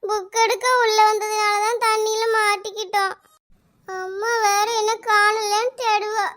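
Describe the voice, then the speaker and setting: Woman doing a scared little girl, super high voice, crying and calling out for help. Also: level tone, measured pace, a bit bright. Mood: scared, tearful. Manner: tearful, pleading, calling out. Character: frightened little girl trapped by floodwater.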